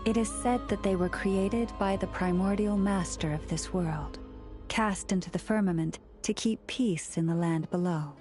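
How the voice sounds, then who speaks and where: Woman playing a slow-spoken, solemn lore keeper. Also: mid-range voice, close to an everyday voice. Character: solemn, gentle female keeper of ancient lore. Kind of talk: scripted game cutscene narration.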